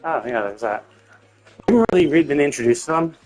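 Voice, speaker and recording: A man's voice: a little high, animated, quick pace, a little mellow, low-quality recording. A man livestreaming a game with casual commentary, explaining his opinions in a rambling, repetitive, mildly exasperated way. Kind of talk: casual live stream commentary.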